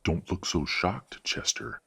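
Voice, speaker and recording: A man playing a stern, courteous, middle-aged werewolf father in an audio roleplay drama, speaking in a formal, measured, authoritative way. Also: deep voice, gravelly, fairly bright tone, breathy edge, full character voice. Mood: firm, proud.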